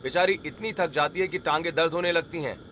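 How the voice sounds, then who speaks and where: A man's voice: a little high, fairly quick, fairly bright tone, a little hiss or hum. A man narrating a scripted domestic family story in Hindi.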